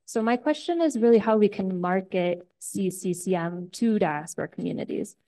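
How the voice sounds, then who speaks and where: A woman's voice: mid-range voice, moderate pace, mellow. A woman asks a conference panel a question in a casual, lightly joking way. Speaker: conference participant asking a question. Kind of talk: spontaneous audience question at a conference.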